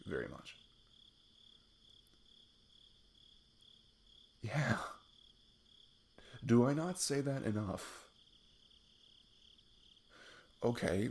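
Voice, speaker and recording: A man playing an affectionate, goofy best friend on a date in an intimate ASMR roleplay, rambling playfully in a husky, gravelly voice. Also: low voice, darkish tone, heavily acted. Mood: tender, affectionate. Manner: rambling, playful, self-deprecating chuckles. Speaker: audio roleplay performer. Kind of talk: intimate ASMR roleplay, improvised casual chat.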